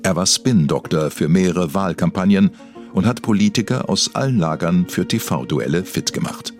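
A man's voice: deep voice, animated, moderate pace, darkish tone, soft background music. A man narrating a mock celebrity documentary as a solemn, scripted storyteller. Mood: deadpan solemn.

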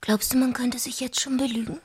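A woman playing a smitten, anxious lover in an intimate radio play, with a very breathy, whispery voice. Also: fairly high pitch, steady tone, fairly quick. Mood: insecure, pleading. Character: smitten, anxious young female lover seeking reassurance.

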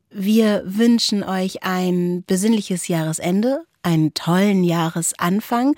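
A woman's voice: medium pitch, a little mellow, no echo, studio quality. A woman co-hosting a reflective podcast conversation with thoughtful, searching phrasing. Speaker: podcast co-host. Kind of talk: reflective conversation about philosophy.

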